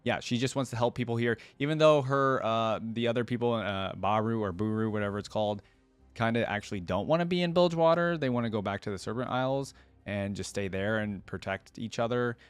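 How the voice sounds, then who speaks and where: A man's voice: on the low side, brisk, smooth, dry recording, soft background music. A man hosting a reaction video, talking casually and rambling as he thinks aloud. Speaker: YouTube reaction host. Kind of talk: casual reaction commentary to camera.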